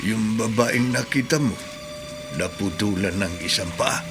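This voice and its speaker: A regretful older neighbor. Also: male voice, lowish voice, a little slow, music in the background. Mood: somber. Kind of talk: radio drama acting.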